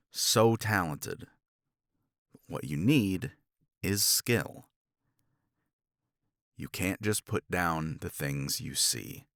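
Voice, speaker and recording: Casting: male actor as blunt, proud professor for romance audio drama; very low, widely varied pitch, sardonic and halting. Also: sharp tone, no echo, studio quality, heavily acted. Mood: stern, lecturing. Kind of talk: romance ASMR roleplay drama.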